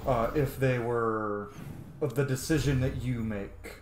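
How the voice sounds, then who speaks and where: A man's voice: mid-range voice, unhurried, background noise. Casual male gamer in a roomy space. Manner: musing aloud, informal. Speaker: gaming YouTuber. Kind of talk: casual video game playthrough commentary. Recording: roomy echo, game music behind. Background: video game music.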